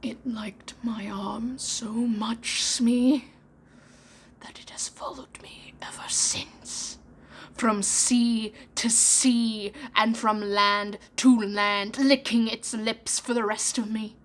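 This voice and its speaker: A woman voicing a menacing one-handed male pirate captain who talks darkly, slowly and in a hoarse whisper in a storybook reading. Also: medium pitch, steady tone. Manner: dark, brooding, slow, growling and whispery.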